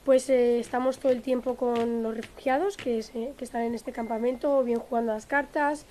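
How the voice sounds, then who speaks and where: A woman's voice: on the high side, fairly even intonation, a little fast, mellow, slight background noise. A woman from Madrid volunteering at a refugee camp, answering interview questions sincerely with some hesitation. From Madrid, Spain.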